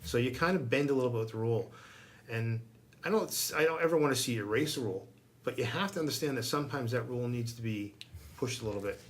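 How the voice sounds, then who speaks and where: A man talks at a quick clip in a medium-pitched voice. He is a fire chief giving his opinions in a casual discussion, blunt and emphatic, often repeating a phrase for weight.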